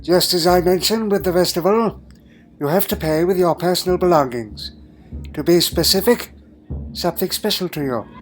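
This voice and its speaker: A man's voice: high voice, moderate pace, natural voice. Elderly time traveller, played by a man: doddering, fussy, imperious but fond, hums questioningly; audio drama over music. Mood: imperious, instructive. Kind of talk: fan audio drama acting. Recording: music underneath.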